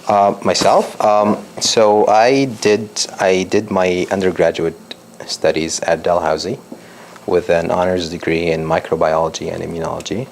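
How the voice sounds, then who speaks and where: A young man from Lebanon with a low, gravelly voice gives a public science talk. He talks hesitantly, with many ums and restarts, and a faint hiss of noise sits under the recording. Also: steady tone, unhurried. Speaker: PhD researcher giving a talk.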